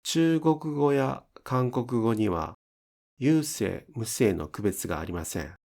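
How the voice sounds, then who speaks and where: A man's voice: mid-range voice, animated, takes it slowly, vocal fry, darkish tone. A man giving scripted instructional narration as a pronunciation teacher, enunciating carefully step by step.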